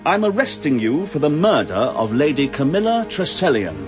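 An actor playing a brisk, self-assured male police inspector in a radio drama. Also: on the high side, very animated, soft background music. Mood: stern. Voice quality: brisk and authoritative.

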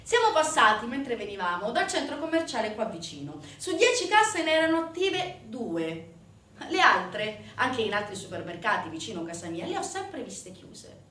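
A woman performing a theatrical monologue, telling a story conversationally to an audience with rhetorical questions and dramatic pauses. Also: a little high, very animated, bright, forceful tone. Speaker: stage actress performing a monologue. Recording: roomy, distant stage recording with faint noise.

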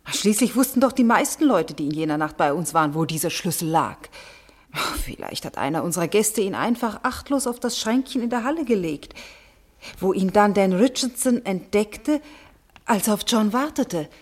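An actress playing a gentle, fair-minded young daughter of a well-to-do household in a German radio play. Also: female voice, medium pitch, lively intonation, normal speed, lightly acted. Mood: reasoning.

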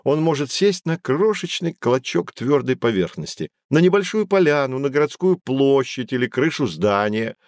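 A man's voice: mid-range voice, big pitch swings, normal speed, few pauses, a little mellow, no room sound, studio quality, close to an everyday voice. A man playing a learned, patient guide who explains things to children in formal, didactic phrases in an educational audio drama. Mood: explanatory.

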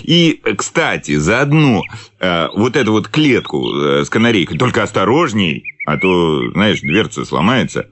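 An actor playing a blandly sympathetic, oblivious friend in a comic radio play, hesitant and coaxing with small murmurs. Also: male voice, low voice, big pitch swings, background noise. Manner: hesitant, drawn-out, coaxing, with little chuckles and murmurs. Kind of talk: radio play acting of a comic short story.

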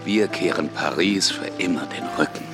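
A man playing a weary husband dreaming of country life in a radio play, musing intimately in a deep gravelly voice. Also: moderate pace, music in the background, natural voice. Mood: wistful, tender. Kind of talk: radio drama acting.

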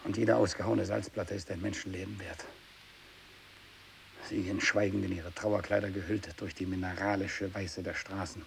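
An actor playing a tormented, bitter, fanatical renegade missionary in a radio drama monologue, brooding and intense, moving between hushed speech and anguished outbursts. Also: male voice, low-pitched, normal speed, gravelly. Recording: steady tape hiss.

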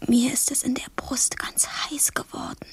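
Breathy, yearning young girl. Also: high voice, little pitch movement, normal speed, dry recording. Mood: hurt, confessing. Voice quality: breathy, whispery. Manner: intense, intimate, yearning. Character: passionate, impetuous thirteen-year-old girl in love, bold yet fragile. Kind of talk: poetic German radio play acting.

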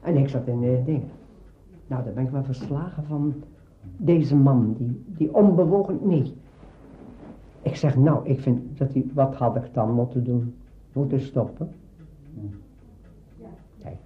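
An older man reminiscing about radio work in an interview, in emphatic, halting phrases. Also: mid-range voice, slight background noise. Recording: dull lo-fi recording.

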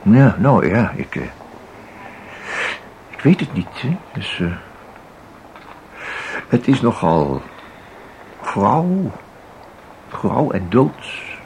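Flustered ordinary chap, very low creaky voice, animated swings, hesitant rambling, radio play, noisy recording. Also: male voice, very slow, long pauses, full character voice. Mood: uncertain, flustered. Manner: hesitant, rambling, full of repetitions and tag questions. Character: chatty, flustered ordinary man, an amateur art lover. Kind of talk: Dutch radio play acting. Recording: audible background noise.

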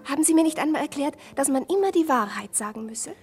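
An actress playing a concerned, gently probing young society lady in a German radio drama, polite and inquisitive. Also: sits high, expressive, fast, soft background music.

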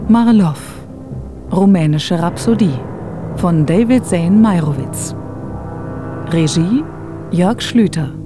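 A woman's voice: lowish voice, lively intonation, slow pace, pauses often. A woman formally announcing the title and credits of a radio drama. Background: ominous music.

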